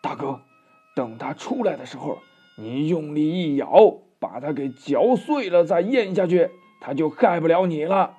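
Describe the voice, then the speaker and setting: A man's voice: fairly high pitch, expressive, a little slow, dark tone, slightly rough. A man voicing a scheming younger demon king, sly and goading, in a storybook tale. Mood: sly whisper. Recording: dull lo-fi storytelling recording with music.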